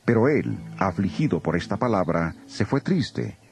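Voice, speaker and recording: A man narrating a dramatized scripture reading as an expressive storyteller. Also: lowish voice, moderate pace, vocal fry, soft background music.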